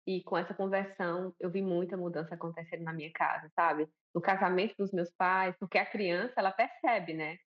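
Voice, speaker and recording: A woman's voice: on the low side, fairly even intonation, brisk, hardly any pauses. Chatty Northeastern Brazilian woman, lo-fi sound. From Northeast Brazil, Alagoas. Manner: warm, chatty, many tag questions. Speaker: guest, missionary and pastor's wife. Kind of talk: conversational TV interview.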